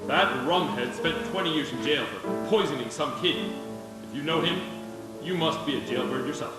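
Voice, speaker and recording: Man as a gruff bullying barkeep, echoing loudly. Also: on the high side, normal speed, natural voice. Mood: bullying, angry. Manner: brusque, slangy, bullying. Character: gruff, hostile bar owner, tough and sneering. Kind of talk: 1940s radio drama acting on stage. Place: live theatre stage. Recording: very echoey stage, loud music behind.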